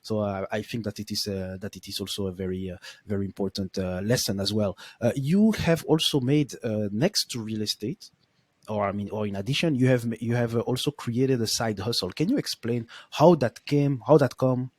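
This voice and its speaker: A man's voice: lowish voice, normal speed, no echo. A man hosting a podcast interview, speaking hesitantly with lots of fillers. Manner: hesitant, many fillers and restarts. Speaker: podcast host. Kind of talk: casual interview.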